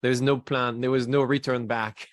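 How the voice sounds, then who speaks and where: A man in his forties with a French accent, a guest in a casual interview who talks in halting bursts, restarting his sentences and laughing between thoughts. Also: mid-range voice, little pitch movement, no room sound. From France.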